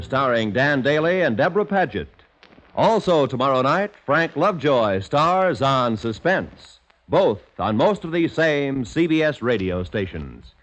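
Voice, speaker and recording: A man's voice: mid-range voice, lo-fi sound. A man announcing credits and upcoming programs for a 1950s radio network in a polished announcer style. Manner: smooth, lively announcer delivery.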